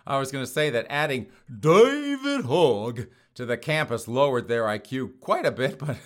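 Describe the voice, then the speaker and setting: Hoarse male host, sarcastic, laughs through his lines, mid-pitched voice, casual mocking commentary. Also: a bit bright. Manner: sarcastic, laughs often between phrases. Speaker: podcast host.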